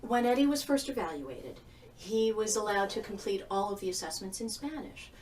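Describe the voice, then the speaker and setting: A woman's voice: medium pitch, lively intonation, moderate pace, darkish tone, a little hiss or hum, natural voice. Earnest, courteous mother, played by a woman; distant mic, faint background. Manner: polite, earnest questions. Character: Puerto Rican mother asking about her son's test. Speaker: parent in training video.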